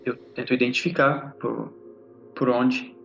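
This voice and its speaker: A man's voice: medium pitch, fairly even intonation, unhurried, gravelly, a bit bright, lo-fi sound. A man playing a tabletop game, speaking in a hesitant, questioning way. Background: background music.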